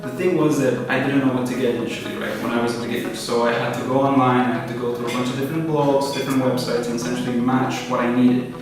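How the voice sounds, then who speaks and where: A young man from Peru, a startup founder, answering interview questions in a relaxed, thoughtful way with many fillers. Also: mid-range voice, quick pace, few pauses. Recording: echoey room, far from mic, music behind.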